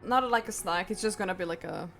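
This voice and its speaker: A woman's voice: medium pitch, fast talker. Casual, rambling woman game streamer. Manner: giggly, rambling. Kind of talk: casual livestream chat. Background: game music.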